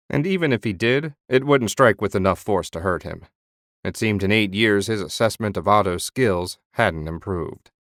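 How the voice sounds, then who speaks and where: A man narrating a fantasy audiobook in measured storytelling. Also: fairly low pitch, no echo, studio quality.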